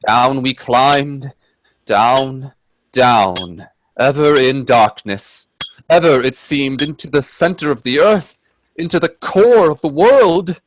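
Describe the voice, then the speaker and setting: A man playing an earnest, uneasy gentleman adventurer in a radio play, telling his tale with theatrical, hesitant suspense. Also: mid-range voice, slow, no echo, lightly acted, rough recording. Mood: suspenseful, dread. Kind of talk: dramatic radio play narration and dialogue.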